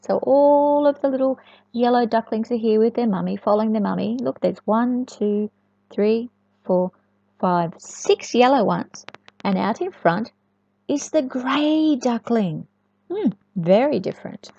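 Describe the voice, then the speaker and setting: A woman reading a storybook to young children in an expressive, sing-song way, often chatting and asking the listener questions. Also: a little high, a little slow, gravelly. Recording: echoey room, dull lo-fi sound.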